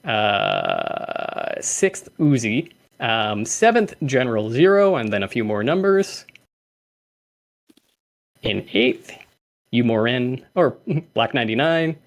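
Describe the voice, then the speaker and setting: Lively man hosting a community call. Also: medium pitch, takes it slowly, creaky. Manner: lively, laughs often, reads out names. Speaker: host.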